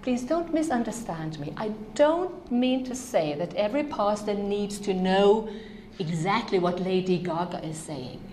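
A woman's voice: medium pitch, animated, a little slow, darkish tone, reverberant, slight background noise. A woman from Norway, a native Norwegian speaker, giving an earnest, emphatic conference talk on ministry to children.

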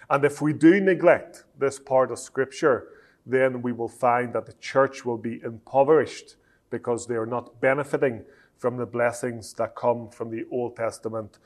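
A man in his thirties from Northern Ireland with a Northern Irish accent, whose first language is Italian, lecturing preachers at a measured pace with frequent "uh" fillers. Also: medium pitch. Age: 30s. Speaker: Bible college lecturer.

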